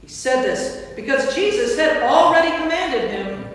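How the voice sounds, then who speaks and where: A woman's voice: high voice, lively intonation, moderate pace. A woman narrating a staged scripture reading in a church, telling the story from a script. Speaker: narrator, priest. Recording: distant mic, very echoey church hall.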